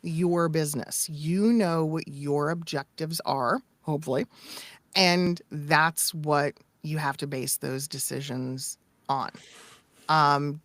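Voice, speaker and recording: A woman's voice: low-pitched, no echo. A middle-aged woman talking candidly and emphatically as a coach in casual podcast conversation, repeating phrases for effect. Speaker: voice acting coach and demo producer.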